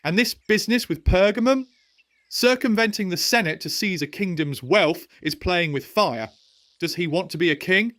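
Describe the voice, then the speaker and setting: A man's voice: sits high, lively intonation, normal speed, fairly bright tone, no echo. Male actor as young Roman noble, anxious, earnest, argumentative, rhetorical, close dry sound, audio drama. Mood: accusing. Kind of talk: historical audio drama acting.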